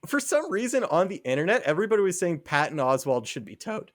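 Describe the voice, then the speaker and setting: A man's voice: high voice, sing-song, fast talker. A young man co-hosting a podcast in casual chat, rambling and laughing at his own ideas. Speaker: podcast co-host.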